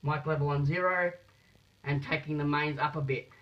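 A man giving a step-by-step practical demonstration in a deliberate, halting way, repeating his phrases, picked up by a phone camera in a small room. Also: fairly high pitch, steady tone. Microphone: phone camera held nearby. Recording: muffled phone video sound.

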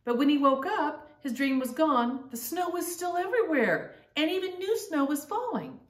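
A woman's voice: high-pitched, big pitch swings, moderate pace. A middle-aged woman hosting a storytime, reading a picture book aloud and chatting directly to young listeners in simple words. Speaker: storytime host reading to grandchildren. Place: living room at home, indoors. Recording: roomy echo, distant microphone.

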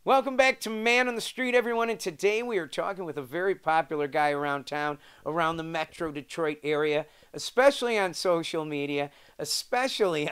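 A middle-aged American man with a slightly high voice hosts an interview show. He presents questions and introductions in an emphatic, showman-like way. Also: fairly bright tone, smooth. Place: wooden sunroom indoors.

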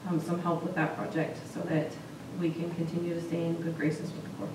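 Female meeting speaker, slightly low voice, politely informal, very echoey sound. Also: completely flat delivery, a little fast. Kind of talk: reporting and answering at a meeting. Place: small council chamber, indoors. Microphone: distant camera microphone. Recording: echoey, noisy room from far off.

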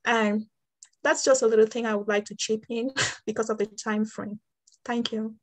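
A woman's voice: on the high side, steady tone, moderate pace. A woman from Cameroon, a water quality programs coordinator, speaking earnestly over a video call in a breakout discussion, with small restarts.

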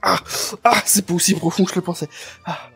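A man streaming a VR roleplay, joking loudly and laughing often. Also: high-pitched, fairly even intonation, brisk, vocal fry, breathy edge, faint music behind. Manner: joking, loud, bursts of laughter. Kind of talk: playful in-character roleplay banter. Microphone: VR headset mic.

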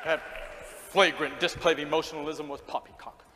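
A man's voice: fairly high pitch, fairly even intonation, a little fast, pushed and bright, some chatter behind, a little hiss or hum. A man playing a stern, pompous rector, echoey stage. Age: middle-aged. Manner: pompous, clipped, emphatic. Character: stern, proud, indignant church rector. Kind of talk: stage play acting. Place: theatre stage. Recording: echoey theatre, picked up live on stage.

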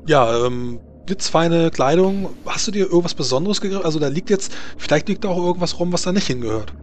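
A man narrating a tabletop game as game master, halting as he searches for words. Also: fairly high pitch, animated, slight background noise.

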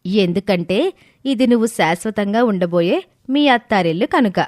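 A woman voicing a sharp-witted young sister in a cartoon family drama, assured and teasing. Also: mid-range voice, expressive, moderate pace, no echo, clean studio sound, natural voice.

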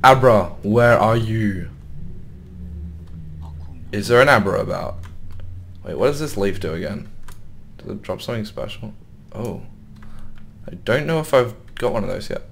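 A man's voice: lowish voice, lively intonation, measured pace, gravelly, pauses often, slight background noise. A young man with a slightly sore voice, casually commenting on his gameplay and thinking aloud as he plays. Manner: thinks aloud, wondering and muttering to himself. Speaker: gaming YouTuber.

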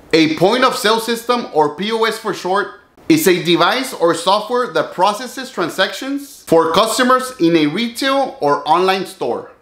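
A man in his thirties hosting a business vlog, speaking to camera in a steady, earnest scripted delivery from a home office. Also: high voice, reverberant. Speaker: business owner and channel host. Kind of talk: scripted talking-head vlog.

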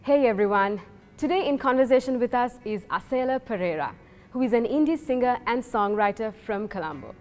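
A woman's voice: fairly high pitch, animated, a little fast, darkish tone. A woman, hosting an interview with prepared questions. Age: 20s. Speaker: interviewer, host. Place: large brick-walled room with big windows, indoors. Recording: music behind the voice.

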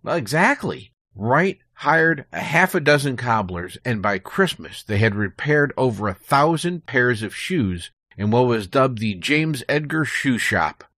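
A man's voice: medium pitch, big pitch swings, unhurried, hardly any pauses, fairly bright tone, dry recording, studio quality. An American man speaking as a podcast guest, telling prepared, informative stories in a conversational way.

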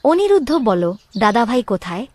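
A woman's voice: fairly high pitch, sing-song, fairly quick, darkish tone, dry recording. A woman playing a caring, anxious young royal woman in a cartoon drama, speaking earnestly and expressively. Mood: curious, concerned. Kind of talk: dramatic Bengali cartoon voice acting.

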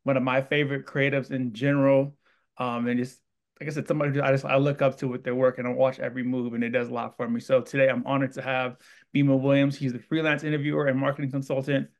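Texan male host, brisk and effusive, mid pitch, on a boom studio mic. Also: little pitch movement. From Texas. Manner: enthusiastic, effusive and rambling. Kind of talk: interview hosting. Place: indoor studio room with wall art. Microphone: studio mic on boom arm.

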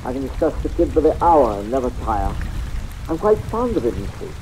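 An actor playing an obsessed, menacingly polite fight-fixing boss in an old radio drama, speaking formally and precisely with emotional intensity. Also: male voice, mid-range voice, sing-song, fairly quick, background noise, lightly acted. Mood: fond, proud. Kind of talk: 1940s-50s radio drama acting. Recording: old radio recording under rain ambience.

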